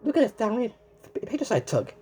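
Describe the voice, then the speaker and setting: Woman, children's story reading, swooping intonation, husky edge, exclamatory, halting with repeated words. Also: fairly high pitch, big pitch swings, warm tone. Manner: exclamatory, asks the listener questions and pauses as if answered. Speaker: storybook reader. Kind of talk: animated children's read-aloud with asides to the viewer.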